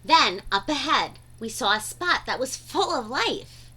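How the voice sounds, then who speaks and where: A woman's voice: high-pitched, expressive, unhurried, bright, forceful tone, breathy edge, poor audio. A woman reading a children's storybook aloud expressively, drawing out words for dramatic emphasis. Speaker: read-aloud narrator.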